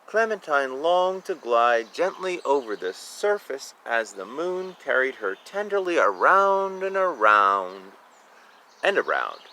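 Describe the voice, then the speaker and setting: A man's voice: medium pitch, big pitch swings, slow, sharp tone, slight background noise, low-quality recording. A man reading a picture book aloud expressively, with informal asides about the illustrations. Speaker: reader of a children's picture book.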